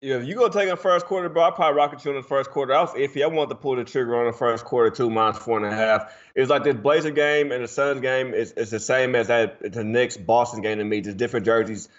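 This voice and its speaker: A man's voice: medium pitch, quick pace, few pauses, dull audio. A man from Portland, Oregon, hosting a casual sports betting show with loose, slangy banter.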